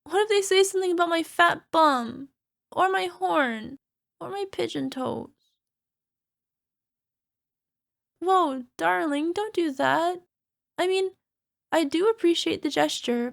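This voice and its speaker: A woman's voice: very high-pitched, unhurried, creaky, a bit bright, heavily acted. A woman playing an insecure, self-conscious cyclops monster girlfriend in an ASMR roleplay, talking in a dramatic, playfully exasperated way. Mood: anxious, touched. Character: insecure chubby flying purple people eater girlfriend, a cyclops monster. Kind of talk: ASMR roleplay acting, conversational.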